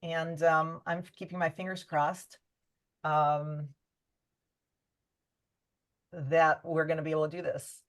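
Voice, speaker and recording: A woman's voice: sits low, level tone, slow, long pauses. A woman hosting an online panel on a video call, chatty, with many fillers and restarts. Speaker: event host.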